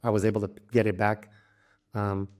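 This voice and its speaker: A young man from Louisiana, an interview guest talking casually about travel and language learning, relaxed and halting, with frequent restarts and light laughs. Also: lowish voice. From Louisiana, United States.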